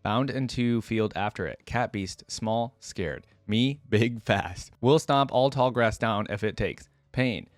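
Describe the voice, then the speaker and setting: A man's voice: fairly low pitch, slow pace, few pauses, no room sound. A man voicing a cruel, hungry giant beast that thinks in clipped, broken fragments in a dramatic story reading. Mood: boastful, annoyed.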